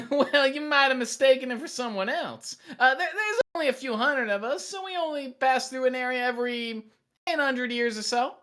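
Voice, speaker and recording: A woman's voice: high voice, normal speed, a bit bright. A woman playing a friendly, persistent hiker in a table read, talking in a casual, folksy way. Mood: friendly, explaining.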